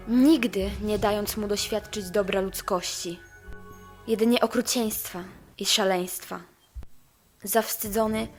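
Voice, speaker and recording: A woman voicing an idealistic, anxious young princess of hell in an animated fan dub, earnest and expressive. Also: medium pitch, natural voice. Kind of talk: fan-dubbed animation, storybook narration and dialogue.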